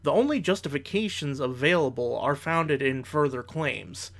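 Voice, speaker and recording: A man giving argumentative reaction commentary in a measured, analytical way with formal wording. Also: a little high, expressive, fairly bright tone.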